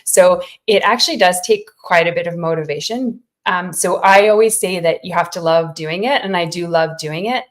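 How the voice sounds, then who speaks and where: A woman's voice: on the low side, moderate pace, few pauses. A middle-aged woman, an author, giving thoughtful, encouraging answers to questions in a conversational way. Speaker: children's author, interview guest.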